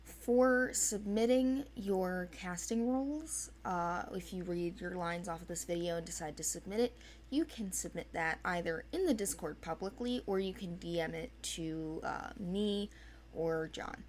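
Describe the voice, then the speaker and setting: A woman's voice: lowish voice, a little slow, a little mellow, slight background noise. A woman giving an informal, unscripted explanation in a hesitant, rambling way, as a casting organizer.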